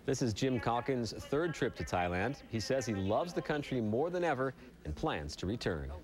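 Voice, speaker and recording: A man's voice: mid-range voice, darkish tone, slightly rough. A man with an American accent anchoring a TV morning newscast, reading news and chatting informally.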